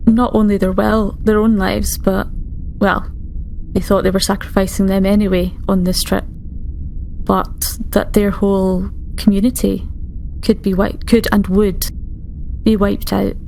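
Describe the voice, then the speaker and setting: A woman's voice: mid-range voice, creaky, darkish tone, poor audio. A woman playing a frank, concerned starship doctor in a sci-fi tabletop game, speaking formally and with hesitant care. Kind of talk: improvised sci-fi tabletop roleplay. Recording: faint background noise.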